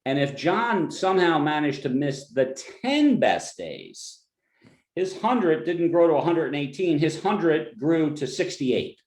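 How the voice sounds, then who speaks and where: A man's voice: fairly high pitch, very animated, measured pace, a little mellow, roomy sound, rough recording. A man from New Jersey with a New Jersey accent, a financial advisor giving a client webinar presentation in a conversational way, with joking asides and frequent restarts.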